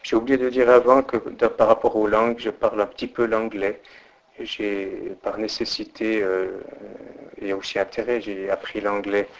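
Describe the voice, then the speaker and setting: Hesitant middle-aged man, lowish voice. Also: level tone, moderate pace, low-quality recording. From Portugal. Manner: hesitant, many fillers and restarts. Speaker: interviewee. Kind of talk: oral history interview.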